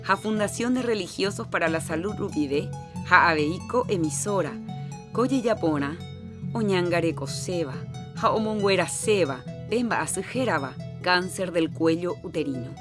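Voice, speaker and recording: A woman's voice: on the low side, lively intonation, normal speed. A woman hosting a radio program, presenting scripted health announcements. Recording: music behind the voice.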